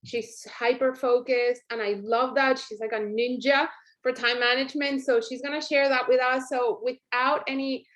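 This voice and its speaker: A woman with a fairly high voice talks informally to her team as the leader of the call. She is chatty and encouraging, speaking in a conversational motivational style. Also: steady tone, normal speed, fairly bright tone.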